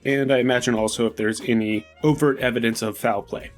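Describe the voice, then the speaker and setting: Male tabletop gamer, medium pitch, casual role-play chat with faint music underneath. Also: fairly even intonation, brisk. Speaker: player in a tabletop game.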